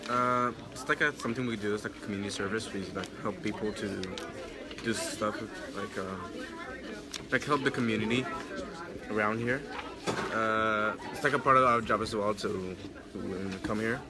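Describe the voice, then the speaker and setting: A teenage exchange student from Egypt, answering interview questions hesitantly, with lots of fillers and shy laughs. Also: male voice, lowish voice, steady tone, moderate pace. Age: teens. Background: crowd chatter.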